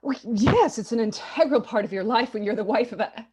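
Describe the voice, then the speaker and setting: A woman's voice: a little high, expressive, darkish tone, breathy edge. A woman playing a nervous, chatty politician's wife who gushes in a flustered way in a comedy table read. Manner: gushing, flustered, slightly hysterical rapid chatter. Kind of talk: live table read of a comic film script.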